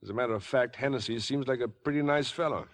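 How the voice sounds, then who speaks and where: A man's voice: medium pitch, quick pace, close to an everyday voice. An actor playing a tense, earnest young man under suspicion in a 1950s radio drama, clipped and uneasy. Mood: wry, weary.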